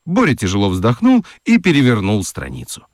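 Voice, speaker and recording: A man narrating a children's radio play as an expressive, scripted storyteller. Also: on the low side, a little fast, soft background music.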